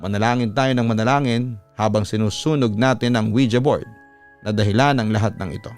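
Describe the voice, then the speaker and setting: A man's voice: fairly low pitch, brisk, faint music behind, close to an everyday voice. A man voicing a solemn, devout priest who urgently calls for prayer against spirits in a horror story. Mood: solemn, urging.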